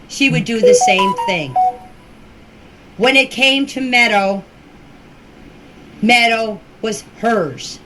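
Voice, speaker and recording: An older woman giving an informal personal account in a deliberate, halting way, with short emphatic phrases, recorded as an amateur voice message. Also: on the high side, a bit bright, a little hiss or hum, low-quality recording. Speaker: relative recounting events. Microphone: voice clip recorded for group chat.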